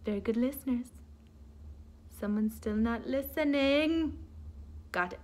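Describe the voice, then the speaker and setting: A woman's voice: on the high side, measured pace, a little mellow. A woman reading a children's story aloud like a teacher, theatrical and playful, coaxing her listeners to join in.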